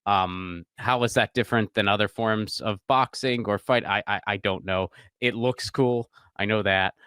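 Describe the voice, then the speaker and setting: Male co-host, lowish and crisp, self-deprecating stammerer, dry close mic. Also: steady tone, moderate pace, pushed and bright, no echo. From Pittsburgh. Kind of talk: casual chat.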